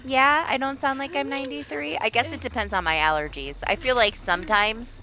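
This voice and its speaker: A woman, middle-aged, with a raspy voice, chatting casually and freely with viewers as she streams a video game. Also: high voice, slight background noise, low-quality recording. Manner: animated, chatty, quick to laugh, swears freely. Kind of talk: casual chat with stream viewers while gaming.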